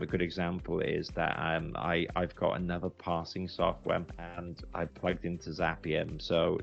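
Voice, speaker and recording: A British man with a deep, gravelly voice talks through his experience in a casual, unscripted way. He explains conversationally, doubling up words for emphasis. Faint music plays behind him. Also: muffled recording. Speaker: recruitment agency founder giving a software review.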